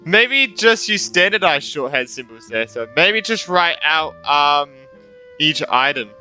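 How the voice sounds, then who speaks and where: A male streamer with a very bright, slightly high voice. He reacts casually to a game, often trailing off and repeating himself, with faint music behind him. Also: very animated, a little slow. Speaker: gaming streamer. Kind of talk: casual live game commentary.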